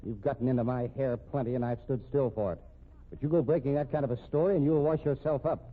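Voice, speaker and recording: An actor in a 1950s radio mystery playing a wry, weary police captain with a dry, firm, slangy way of talking. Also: male voice, medium pitch, steady tone, fast talker, close to an everyday voice. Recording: tinny band-limited old radio recording.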